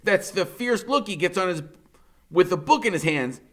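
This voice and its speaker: A man reading a children's novel aloud in the first person, like a teacher, expressive and colloquial. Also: high-pitched, moderate pace.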